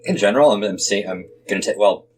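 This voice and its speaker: Deep-voiced man playing a tabletop game. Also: fast. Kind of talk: casual game-table talk. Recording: clear ambient background noise.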